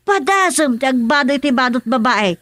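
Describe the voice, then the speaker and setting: A woman acting as a talkative, teasing village aunt in a radio comedy drama, with an Ilocano Filipino accent and animated exclamations. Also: high voice, moderate pace, no room sound, clean studio sound. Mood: coaxing.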